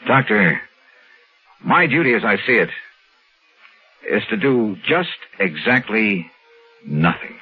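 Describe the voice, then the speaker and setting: An American actor playing a tough, skeptical insurance investigator in clipped, sardonic 1950s radio drama style. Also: male voice, fairly low pitch, very animated, takes it slowly, pauses often, breathy edge, exaggerated voice. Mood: sober, puzzled. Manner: clipped, sardonic, hard-boiled. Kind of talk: 1950s radio drama acting and first-person narration. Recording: dull vintage radio recording, music behind.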